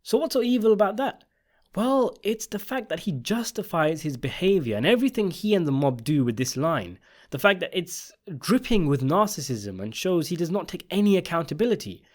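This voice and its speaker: Man narrating commentary with lively, swinging pitch. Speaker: video essay narrator. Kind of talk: scripted opinion commentary on a TV drama.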